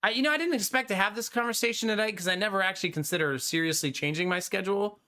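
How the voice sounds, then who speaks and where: A young adult man streaming live, chatting casually with his viewers, animated and talkative, often laughing. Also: high-pitched, racing.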